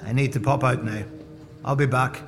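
A man's voice: medium pitch, steady tone, normal speed, over music, natural voice. An actor playing a friendly but sly man who talks in a chummy, ingratiating way, recorded live on stage. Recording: live stage, hall reverb.

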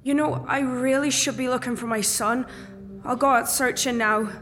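A woman's voice: high-pitched, steady tone, moderate pace, a bit bright. An actress playing a poor, middle-aged Irish woman who is earnestly searching for her son, recorded live on stage. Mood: trusting. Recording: live stage, hall reverb.

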